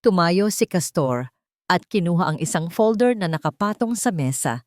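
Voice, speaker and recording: A woman's voice: on the low side, animated, moderate pace, no room sound, studio quality. A woman with a Filipino accent reading a dramatic love story as scripted narration.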